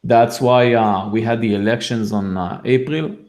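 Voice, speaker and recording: Halting man with an Israeli accent. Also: medium pitch, steady tone, a little mellow. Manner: halting, many restarts and fillers, laughs between points. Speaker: guest. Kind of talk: casual podcast chat.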